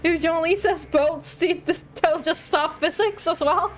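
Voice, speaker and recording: A woman's voice: very high-pitched, a little slow, a little hiss or hum. A woman streamer giving casual game commentary in a playful, pleading and apologetic way. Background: game music.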